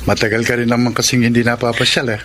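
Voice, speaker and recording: Aktor bilang seloso at mausisang tiyuhin. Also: male voice, mid-range voice, little pitch movement, fast, fairly bright tone, close to an everyday voice. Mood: casual probing. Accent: Filipino. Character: jealous, probing uncle in love with heroine. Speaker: radio drama actor. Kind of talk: Filipino radio romance drama acting. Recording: faint noise on radio drama track.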